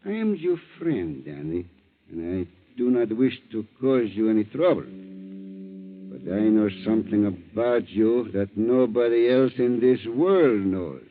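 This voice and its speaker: A man's voice: fairly low pitch, animated. Falsely friendly fisherman blackmailer, broken English, swooping intonation, thin phone-like vintage radio sound. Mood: slyly menacing. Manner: broken English, insinuating, drawn-out threats. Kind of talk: 1940s radio acting. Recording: tinny, narrow-band 1940s radio recording.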